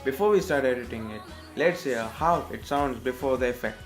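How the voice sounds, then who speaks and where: A man with an Indian accent narrating a step-by-step software tutorial as its host, in a scripted style. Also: mid-range voice, brisk, faint music behind.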